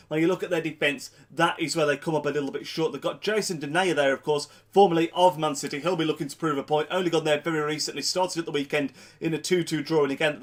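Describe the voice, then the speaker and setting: A young man with a blocked nose from a cold, talking through a football match preview in a chatty vlog, doubling up words for emphasis. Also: a little high. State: congested with a cold. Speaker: football fan vlogger.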